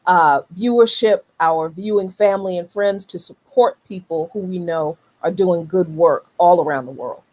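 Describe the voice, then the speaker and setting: A woman's voice: lowish voice, normal speed, few pauses, darkish tone. Woman hosting a current events talk, earnest delivery. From United States. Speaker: show host.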